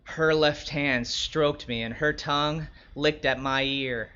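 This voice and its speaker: A man playing a wry, wary young escort who narrates his own story in measured, descriptive literary prose. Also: on the high side, fairly even intonation, bright, forceful tone, clear, resonant tone, highs cut off. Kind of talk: first-person literary narration.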